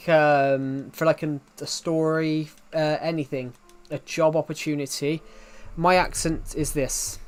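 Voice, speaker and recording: A man's voice: fairly high pitch, flat delivery, unhurried, clean tone. A young man from the UK with a thick regional British accent, chatting casually on a game stream and restarting his sentences often. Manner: rambling, restarts often, reads chat aloud. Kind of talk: casual live-stream chat.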